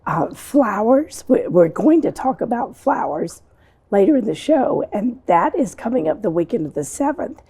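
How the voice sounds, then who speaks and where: A woman hosts a conversational TV interview with a very lively, swooping pitch. Her voice is mellow and a little rough around the edges. She is encouraging and affirms what her guests say. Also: moderate pace. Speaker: TV show host.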